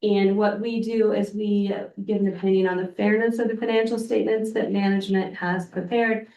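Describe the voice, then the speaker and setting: Hoarse woman presenting an audit report. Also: mid-range voice, little pitch movement, moderate pace, mellow. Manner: explanatory, plain and practical. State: hoarse from a cold. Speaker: external auditor. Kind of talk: presenting a financial report at a public board meeting. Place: public meeting room. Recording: echoey meeting room, mic far off.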